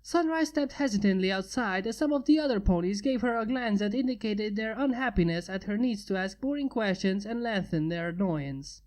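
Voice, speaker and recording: Mellow woman reading a storybook. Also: mid-range voice, lively intonation, fairly quick, hardly any pauses. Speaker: fanfiction reader. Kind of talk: storybook narration.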